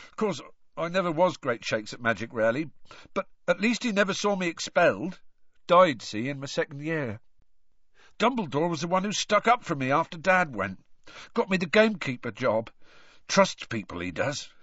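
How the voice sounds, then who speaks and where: A man voicing a huge, sentimental half-giant gamekeeper with a hoarse, croaky voice and rough rustic speech. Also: fairly high pitch, bright, forceful tone, no room sound, lo-fi sound, voice pushed up, full character voice. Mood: earnest. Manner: rustic, dropped words, blunt and sentimental.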